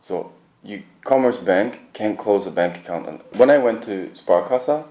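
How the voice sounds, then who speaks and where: A man's voice: on the low side, lively intonation, unhurried, dark tone. A man in casual conversation, insistent and argumentative. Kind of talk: casual chat. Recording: echoey room.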